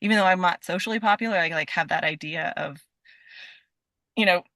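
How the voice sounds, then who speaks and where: A young American woman with a bright, forward voice at an ordinary pitch, recorded dry and close. She talks casually and effusively, circling through her sentences and peppering them with "like" as she goes. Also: a little fast, dry recording. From Nevada, United States. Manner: effusive, rambling, peppers talk with "like". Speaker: podcast guest, stage and voice actor. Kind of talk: casual chat.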